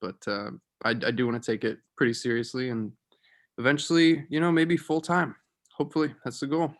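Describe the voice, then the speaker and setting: A man's voice: mid-range voice, little pitch movement, brisk. Young guy on a video call just chatting, mid voice, informal, pretty keen. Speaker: aspiring actor. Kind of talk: casual chat.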